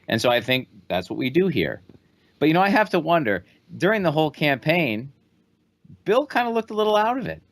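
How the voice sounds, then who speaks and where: A man's voice: on the high side, big pitch swings, fairly quick, fairly bright tone. A man in his thirties co-hosting a podcast in casual, conversational political commentary. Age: 30s. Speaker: podcast co-host.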